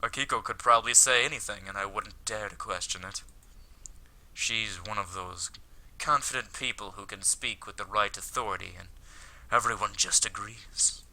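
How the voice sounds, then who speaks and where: A man voicing an awkward, lovestruck high school boy, wry and self-deprecating, in a visual novel. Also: moderate pace, sharp tone, poor audio. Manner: wry, flustered. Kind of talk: visual novel character read aloud.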